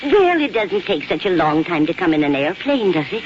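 A woman's voice: lowish voice, big pitch swings, fairly quick, background noise. An actress playing a fretful, doting middle-aged small-town mother in 1940s radio drama, eager and folksy. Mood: eager, excited. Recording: dull 1940s radio, music behind.

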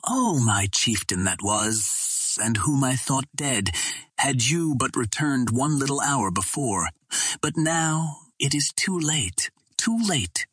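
A man's voice: mid-range voice, sing-song, slow, a bit bright, husky, dry recording, pitched above the performer's own voice, heavily acted. A man reading an audiobook, voicing a proud young alien princess who speaks with sorrow and resignation. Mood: grieving, resigned. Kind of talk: dramatic audiobook dialogue.

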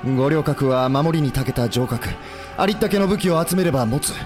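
A man's voice: a little high, lively intonation, brisk, darkish tone, soft background music, close to an everyday voice. A male actor playing an earnest, polite and idealistic rebel army leader in a historical audio drama. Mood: resolute.

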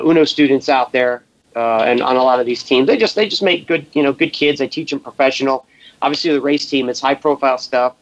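Laid-back rambling man, slightly high voice. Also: no echo, lo-fi sound. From Massachusetts, USA. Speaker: guest, race shop welder. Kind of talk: casual storytelling interview.

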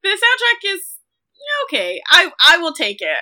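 A woman in her thirties co-hosting a podcast, casually discussing films in an expressive, effusive way. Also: very high voice, moderate pace. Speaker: podcast co-host.

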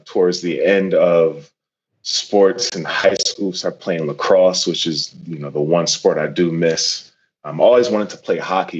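A man's voice: low voice, highs cut off. A man in his twenties from Connecticut, a professional football player, talking casually as a guest with many fillers and restarts. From Connecticut, USA.

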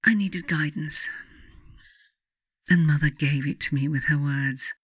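A woman reading her own reflective self-help writing as scripted narration, in measured, deliberate phrases with frequent pauses. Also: sits low, fairly even intonation, fairly bright tone, dry recording, studio quality. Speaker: author reading own book, guided meditation narrator. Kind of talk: scripted audiobook narration, reflective guided meditation.

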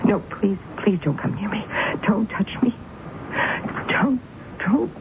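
A brooding, intense middle-aged woman narrates a dark radio mystery in a gravelly voice. The sound is thin and tinny, like a phone line, with heavy hiss underneath. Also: mid-range voice, expressive, slow. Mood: anxious. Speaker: narrator and lead character. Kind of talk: 1970s radio drama narration. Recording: tinny, hissy old radio broadcast.

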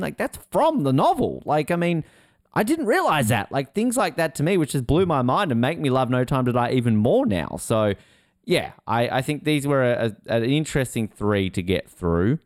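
A man's voice: medium pitch, big pitch swings, normal speed, no echo, studio quality. A man talking informally as a podcast co-host in casual chat.

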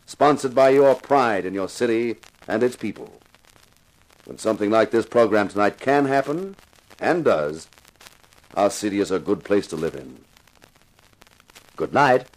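An actor playing a tough, commanding detective and host in a 1950s radio crime drama, with a stern, sardonic, theatrical delivery. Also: male voice, medium pitch, animated, normal speed, long pauses, natural voice, poor audio. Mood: solemn.

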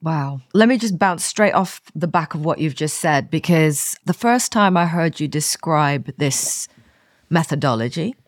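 A host in their forties leads the conversation with eager, lively interest and a mid-range voice. The recording is dry, with almost no room sound. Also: mid-range voice, a little slow, no echo. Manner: enthusiastic, lively questioning. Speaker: podcast host.

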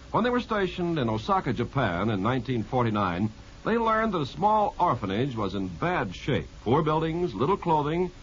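A man reading scripted narration as a 1950s radio announcer. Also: medium pitch. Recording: muffled 1950s radio, faint noise.

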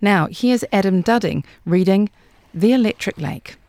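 A woman's voice: mid-range voice, measured pace, darkish tone. A woman, hosting a podcast and interviewing conversationally. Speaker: podcast host.

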